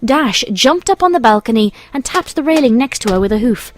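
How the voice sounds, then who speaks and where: A woman's voice: a little high, animated, brisk, faint music behind. A woman reading scripted storybook narration as the narrator.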